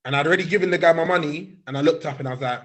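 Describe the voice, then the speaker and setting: Young host, chatty storyteller, slightly raised pitch, quick restarts. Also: male voice. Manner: animated anecdote, many restarts. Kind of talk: casual chat, storytelling.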